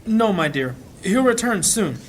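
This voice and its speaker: A man voicing a gentle, anxious, pious priest with a husky voice in a fantasy audio drama. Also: sing-song, measured pace, slight background noise. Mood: reassuring. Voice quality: high, husky.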